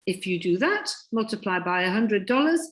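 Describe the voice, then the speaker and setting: A woman giving an insistent sales pitch on a video call, a little croaky and repeating her points with emphasis. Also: expressive, normal speed. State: croaky from illness.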